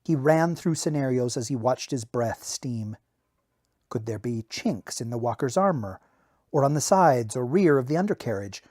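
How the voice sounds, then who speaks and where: A man's voice: mid-range voice, expressive, moderate pace, a little mellow, no echo. A man who hosts a podcast, speaking in the style of an amateur audiobook narrator in a close home recording. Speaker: podcast host reading a novel excerpt.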